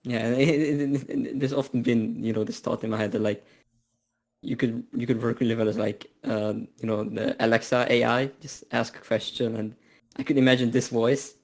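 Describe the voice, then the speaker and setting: A man's voice: mid-range voice, flat delivery, moderate pace, gravelly, darkish tone. A man asking a question as an audience member, talking casually and hesitantly, repeating words as he goes. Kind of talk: casual chat.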